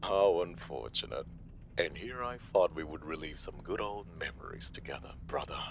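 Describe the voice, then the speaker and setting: A man playing a menacing, gloating mobster villain in an audio roleplay, with slow, theatrical taunts and mocking politeness. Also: a bass voice, expressive, vocal fry, fairly bright tone, a little hiss or hum, full character voice. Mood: menacing taunting.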